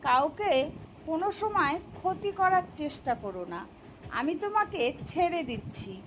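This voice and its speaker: A woman voicing a sly, clever, persuasive fox in an animated children's story, with emphatic drawn-out delivery. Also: very high voice, a little hiss or hum, low-quality recording. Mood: preachy. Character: sly, clever, smooth-talking fox outwitting a tiger.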